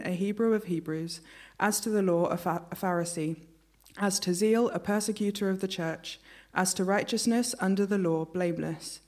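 A woman reading a Bible passage aloud at a church service, steady and earnest. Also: lowish voice. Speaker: scripture reader. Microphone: church microphone.

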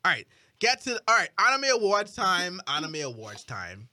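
A man hosting a casual anime podcast, talking in an excitable, emphatic way and often repeating himself. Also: sits high, normal speed. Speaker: podcast host.

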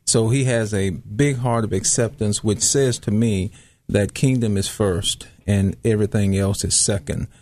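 A man who is a pastor, speaking in a measured, thoughtful way in an interview and laughing easily. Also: fairly low pitch, fairly even intonation, darkish tone. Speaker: pastor, guest. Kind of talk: casual interview talk. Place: studio room.

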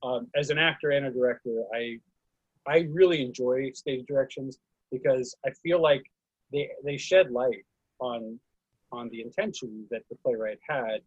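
A man's voice: medium pitch, normal speed, dry recording, highs cut off. A man hosting a casual discussion about theatre, speaking hesitantly and diplomatically as he hands over to others. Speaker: host, actor and director.

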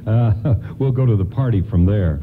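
A man's voice: lowish voice, normal speed, lightly acted. An actor playing a friendly, plain-spoken male department store doorman in an earnest, folksy radio play style. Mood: sheepish, explaining. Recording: muffled, faintly noisy radio broadcast.

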